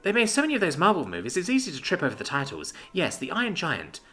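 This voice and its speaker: Man putting on a fretful young shut-in woman, high voice, precise, bright tone. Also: wildly swooping pitch, quick pace, husky, faint music behind, exaggerated voice. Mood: gentle. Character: fussy reclusive young woman, anxious and precise. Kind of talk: audiobook character voice.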